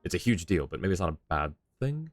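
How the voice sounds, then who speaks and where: A man's voice: low-pitched, sing-song, fast. A man streaming a game, making rambling jokes with hesitant restarts, with game music behind. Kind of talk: casual gameplay commentary.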